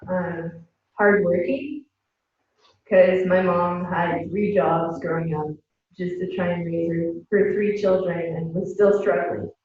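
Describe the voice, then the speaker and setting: A woman's voice: lowish voice, slow, a little hiss or hum. A woman sharing in a group meeting, hesitant, with many "um"s and "like"s. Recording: echoey distant dull call audio.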